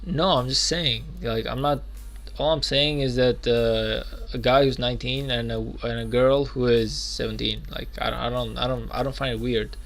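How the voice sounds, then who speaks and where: A man's voice: medium pitch, steady tone, normal speed, creaky, fairly bright tone. A man in his twenties chatting loosely while streaming a game, rambling with lots of fillers and restarts. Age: 20s. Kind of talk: casual live-stream chat.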